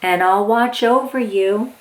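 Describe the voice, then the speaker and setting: A woman reading a picture book aloud to young children as a host, with excited, encouraging exclamations. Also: medium pitch, measured pace, background noise.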